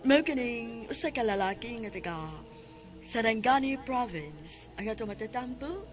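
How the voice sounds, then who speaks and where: A woman's voice: a little high, lively intonation, moderate pace, music in the background. A woman with a Filipino accent delivering a scripted radio drama introduction as announcer.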